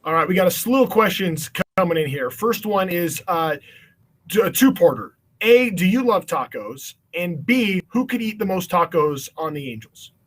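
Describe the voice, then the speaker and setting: A man's voice: sits high, big pitch swings, normal speed, rough recording. A man hosting a casual event as interviewer, putting questions to a guest in an encouraging, affirming way. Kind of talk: casual upbeat hosting, reading audience questions.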